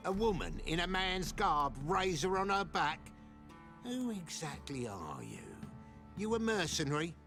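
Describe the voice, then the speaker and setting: A rowdy, probing huntsman from a game, gravelly and a touch high-pitched. His folksy delivery swings up and down. Clear music plays behind him. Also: very animated, measured pace, a bit bright, exaggerated voice. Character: rowdy, inquisitive male hunting companion. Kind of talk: dramatic game acting.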